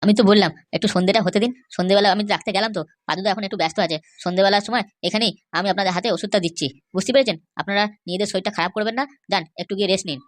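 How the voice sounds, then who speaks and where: A woman's voice: mid-range voice, very fast, hardly any pauses, lightly acted. A woman voicing an opinionated village helper in an amateur cartoon, handing out assertive, colloquial advice. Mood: reassuring.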